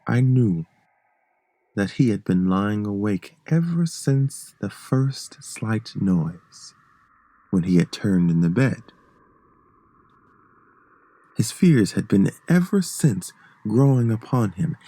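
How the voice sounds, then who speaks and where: Man acting a slow, gravelly, sly madman. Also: fairly low pitch, animated, dark tone, dry recording, full character voice. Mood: menacing, deliberate. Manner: theatrical, halting, drops into whispers. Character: sly, gleeful madman narrating his murderous stalking. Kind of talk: dramatic gothic horror story reading.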